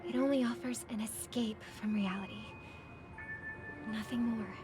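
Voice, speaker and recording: A woman voicing a gentle, earnest, idealistic young woman in a video game, with soft and measured delivery. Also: fairly high pitch, fairly even intonation, lightly acted. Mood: earnest, troubled. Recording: loud music behind the voice. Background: music.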